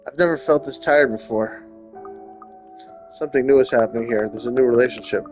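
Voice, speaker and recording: A man's voice: medium pitch, a little fast, soft background music. A man hosting a gaming video, thinking aloud informally and reading the story text out as he plays. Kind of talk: casual game commentary mixed with read-aloud narration.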